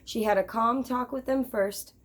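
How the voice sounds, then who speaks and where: A woman's voice: a little high, moderate pace, fairly bright tone. A woman teacher reading a children's picture book aloud expressively, with chatty asides to her listeners.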